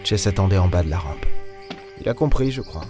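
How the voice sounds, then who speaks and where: A man's voice: low voice, expressive, a little fast. A man playing a world-weary, bitter starship captain in an audio drama, speaking in short, weighty phrases. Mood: sombre, wistful. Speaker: lead character and narrator. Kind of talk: dramatic audio fiction narration. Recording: music behind the voice.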